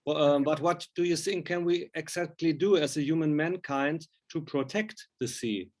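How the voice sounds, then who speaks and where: A man works through casual interview questions as the interviewer. His phrasing is halting and hesitant, as he feels his way toward each question. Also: a little high, darkish tone. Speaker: interviewer, magazine journalist.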